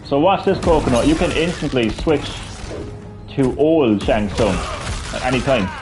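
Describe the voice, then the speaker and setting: Rambling gamer with soft music. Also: male voice, medium pitch, lively intonation, normal speed. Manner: rambling, many fillers and restarts. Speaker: gaming streamer. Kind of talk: casual gameplay commentary.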